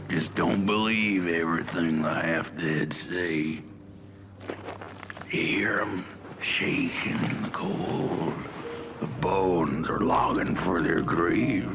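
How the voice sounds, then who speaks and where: Video game role: broken old miner ghoul, gravelly and swinging in pitch, wry rambling guide. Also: male voice, exaggerated voice. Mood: weary, ominous. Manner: folksy, rambling, throat-clearing drawl. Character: crippled, half-dead old coal miner, wry tour guide. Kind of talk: character acting in a video game.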